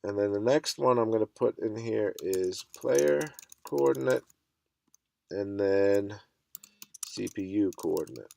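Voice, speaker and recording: A man with a stuffy cold teaching a coding lesson in a relaxed way, thinking aloud with frequent ums. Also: on the low side, slow pace, vocal fry. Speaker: coding instructor. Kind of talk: screen-recorded coding tutorial.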